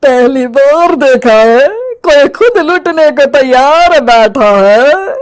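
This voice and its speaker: A woman voicing a gloating, wicked village witch in a drawn-out, mocking way for a story. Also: high voice, expressive, voice pushed up. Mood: scornful.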